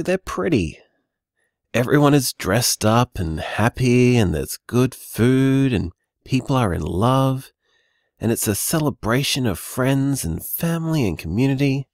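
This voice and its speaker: Audio drama role: easygoing, loving bar owner. Warm, rambling, animated delivery, dry close sound. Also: male voice, medium pitch, no echo. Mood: earnest, upbeat. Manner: warm, teasing, rambling reassurance. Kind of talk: audio drama voicemail acting, naturalistic and conversational.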